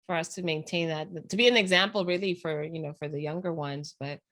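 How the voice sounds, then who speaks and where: A woman's voice: sits low, brisk. A woman from Panama hosting a casual video chat, telling stories with lots of laughter. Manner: animated storyteller, laughs often. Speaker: podcast host.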